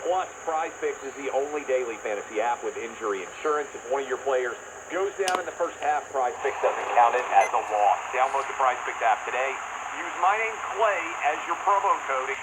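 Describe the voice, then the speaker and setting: A man's voice: mid-range voice, expressive, brisk. A man endorsing a product in a radio ad read, heard over an AM radio. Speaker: advertising endorser. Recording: very noisy AM radio broadcast pickup.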